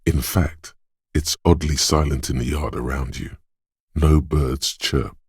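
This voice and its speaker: A super deep watchful inner voice for a game, husky and dry close up. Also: male voice, steady tone, no room sound, exaggerated voice. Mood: observant. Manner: slow, measured, deliberate delivery. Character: Perception, a watchful inner sense voice, male. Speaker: game narrator voicing a skill. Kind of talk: scripted video game narration.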